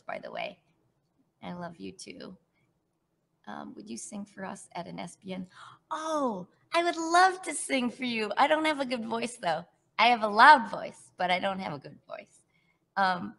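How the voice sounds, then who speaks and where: A middle-aged woman, an actress, answering fan questions in a chatty, animated way over a headset on a video call from home. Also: medium pitch, gravelly. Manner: chatty, warm, animated, laughs often. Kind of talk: casual virtual panel Q&A.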